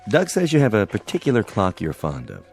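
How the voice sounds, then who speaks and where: An actor playing an affable, tactful psychiatrist with a courteous bedside manner in a radio drama. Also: male voice, on the low side, huge pitch swings, brisk, gravelly, a little mellow, heavily acted. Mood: affable, probing. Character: affable, tactful male psychiatrist putting patient at ease.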